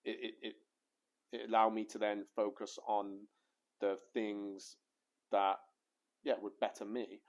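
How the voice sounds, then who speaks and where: Man, podcast co-host, low voice, loose rambling delivery, repeats himself, jokes around. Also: little pitch movement, unhurried, long pauses, highs cut off. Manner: rambling, repeats words, jokey. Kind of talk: casual podcast conversation.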